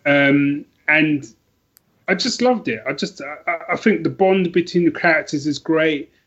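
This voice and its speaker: A man's voice: on the high side, measured pace, fairly bright tone, muffled recording. A man talking casually and enthusiastically about books as a podcast guest, emphatic and often restarting his sentences. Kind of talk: casual book chat.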